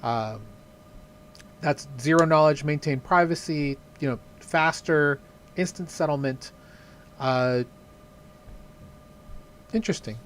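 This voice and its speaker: A man from the Southern US co-hosts a podcast over a video call, talking loosely with plenty of "uh" and "you know". His voice sits a little high and stays unhurried and chatty. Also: slight background noise. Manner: rambling, many fillers. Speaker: podcast co-host. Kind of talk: casual chat.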